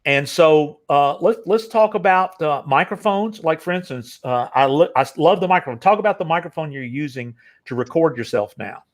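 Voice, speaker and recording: A man's voice: a little high, animated, normal speed, few pauses. Rambling older male interview host. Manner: rambling, many fillers and restarts. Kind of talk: casual interview chat.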